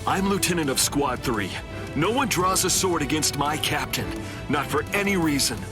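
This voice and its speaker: A man's voice: fairly high pitch, animated, normal speed, over music, natural voice. An actor playing a loyal, anxious young male lieutenant in an anime game, speaking in an earnest, formal, dramatic way. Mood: defiant, tense.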